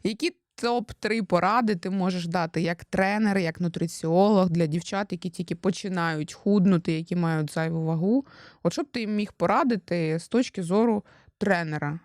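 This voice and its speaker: A woman's voice: on the low side, moderate pace, darkish tone, no room sound, clean studio sound. A young Ukrainian woman hosting a casual podcast, chatty and teasing, often stumbling over her words as she questions her husband. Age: young adult. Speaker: podcast host.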